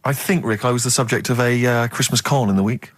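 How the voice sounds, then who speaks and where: A man's voice: mid-range voice, fast talker, dry recording. A man with an English accent co-hosting a radio show, telling casual, self-deprecating anecdotes with mock indignation. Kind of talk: casual comic anecdote and banter. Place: radio studio.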